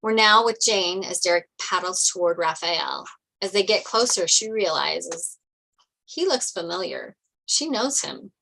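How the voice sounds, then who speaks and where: A woman reading script narration and stage directions aloud at a table read in an animated storytelling way. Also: fairly low pitch, unhurried, gravelly, a little hiss or hum, rough recording.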